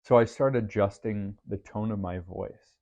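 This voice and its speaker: Male podcast host, low and deep, earnest and reflective, slightly dull audio. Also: unhurried, darkish tone. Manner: earnest, faith-based, thoughtful. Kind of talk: reflective solo podcast monologue.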